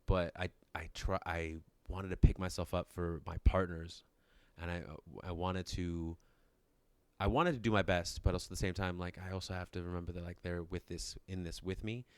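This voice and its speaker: A man in his thirties with a very deep, low voice talks in a loose, rambling way. He keeps stopping and restarting his sentences and laughs often. The sound is dry and close, with no room echo. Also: smooth. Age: 30s. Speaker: podcast co-host. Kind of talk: casual chat.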